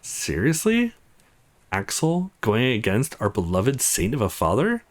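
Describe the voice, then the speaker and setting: A man's voice: mid-range voice, wildly swooping pitch, unhurried. A man voicing a teasing, wry young woman with a casual, defiant streak in a visual novel game. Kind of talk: visual novel dialogue read aloud.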